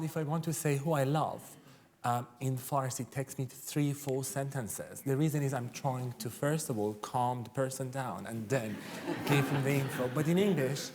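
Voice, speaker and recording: Persian guy on a panel, accented, halting but open, speaking from personal experience. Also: mid-range voice, darkish tone, a little hiss or hum. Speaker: debate panellist. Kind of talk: personal reflection in a live debate. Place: theatre stage, live panel debate.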